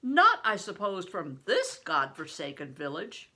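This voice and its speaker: A woman voicing a huge, shrewd, blustering medieval man in a storybook, booming and theatrical as he questions in archaic speech. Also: huge pitch swings, unhurried, sharp tone, full character voice. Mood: booming, hearty.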